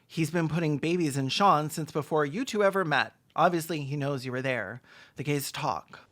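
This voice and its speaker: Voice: mid-range voice, moderate pace, a bit bright. A video essayist giving sarcastic, casual commentary on a film, with plenty of swearing.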